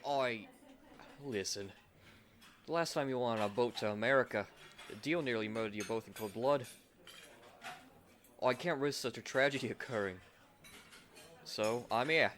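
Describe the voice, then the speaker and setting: A man's voice: mid-range voice, normal speed, pauses often, fairly bright tone, a little hiss or hum, close to an everyday voice. A man voicing a brash, loyal friend and self-appointed protector in a comic audio drama, blustering and informal. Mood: cocky, protective.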